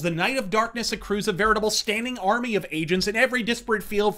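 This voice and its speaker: A man's voice: high voice, pushed and bright. A man hosting a scripted comedic commentary, delivering emphatic, profane, wordy rants as a reviewer. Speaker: host and reviewer.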